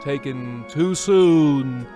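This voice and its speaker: A man playing a solemn, measured funeral officiant giving a eulogy in a comic radio play. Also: mid-range voice, over music.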